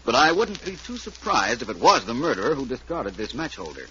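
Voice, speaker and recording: An actor playing a suave, wry, confident gentleman detective in a 1940s radio mystery. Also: medium pitch, big pitch swings, fairly quick. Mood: musing. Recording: noisy, muffled old radio recording.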